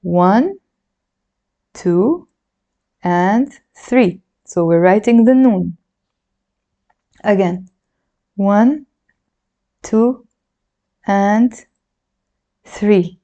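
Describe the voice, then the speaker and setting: Mellow-voiced woman teaching, chatty and encouraging. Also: medium pitch, lively intonation, very slow, pauses often, highs cut off. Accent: Lebanese Arabic. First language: Arabic. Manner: chatty, encouraging, counts along while writing. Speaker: Arabic teacher. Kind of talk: handwriting lesson, demonstrating while talking.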